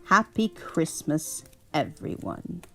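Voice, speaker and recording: A woman voicing a small, tired, friendly tank engine who whispers softly, with an English accent. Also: low voice, very animated, slow, vocal fry, faint music behind, heavily acted, rough recording. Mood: content. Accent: put-on English. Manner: soft whispering.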